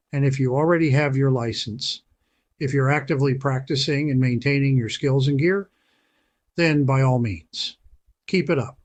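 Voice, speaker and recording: A man who hosts a channel, giving a punchy, emphatic scripted narration with dramatic pauses. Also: fairly high pitch. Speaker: channel host.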